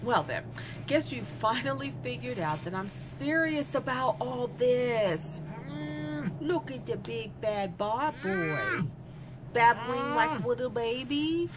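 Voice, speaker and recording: A woman's voice: fairly high pitch, animated, takes it slowly, noisy background. A woman playing a cruel, self-absorbed young female killer in a darkly comic audio drama, speaking in a mocking, sardonic, conversational way. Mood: mocking, menacing. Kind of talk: dramatic monologue in an audio horror comedy.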